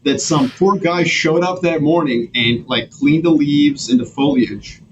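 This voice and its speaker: A man from Serbia, a guest on a podcast, talking in an animated, slangy way with many restarts. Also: fairly high pitch, unhurried, lo-fi sound, a little hiss or hum. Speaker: guest strategist. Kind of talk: casual podcast conversation.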